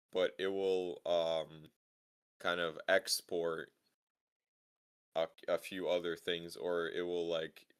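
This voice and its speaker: A man's voice: deep voice, a bit bright. A young man with a voice that sounds like a mafia boss, casually explaining code in slangy talk. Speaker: game developer. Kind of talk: casual chat.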